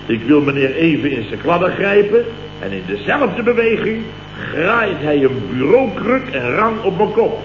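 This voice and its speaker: An actor from the Netherlands playing a blustering, indignant company boss in a radio comedy, telling tales in clipped, mock-dramatic sentences with a Dutch accent. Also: male voice, sits high, big pitch swings, normal speed. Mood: self-important. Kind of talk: 1960s-70s radio comedy acting. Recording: muffled old radio recording, noisy, roomy, hum.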